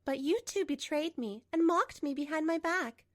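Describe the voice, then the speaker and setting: A woman playing a composed betrayed wife in a manga dub, narrating and speaking with measured, pointed delivery. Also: sits high, no echo, clean studio sound, natural voice. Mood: firm. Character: betrayed wife, composed, coolly resolute, narrating her story.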